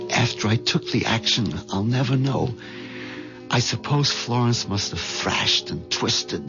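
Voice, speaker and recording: An actor in a 1970s radio drama playing a timid, nervous middle-aged husband who is easily flustered. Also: male voice, medium pitch, vocal fry. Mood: dazed confession. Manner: hesitant, stammering, flustered. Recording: dull vintage radio recording, music behind.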